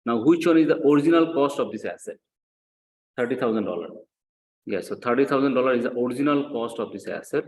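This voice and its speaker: A man's voice: mid-range voice, lively intonation, fast, darkish tone. A man lecturing in a didactic way, repeating key points and often checking with short questions. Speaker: accounting lecturer. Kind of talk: classroom lecture, explaining worked example.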